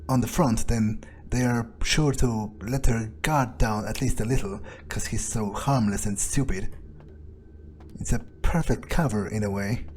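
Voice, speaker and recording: A man roleplaying his character over a game, speaking in a deliberate, sardonic way. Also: medium pitch, a little mellow, a little hiss or hum. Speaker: roleplaying gamer.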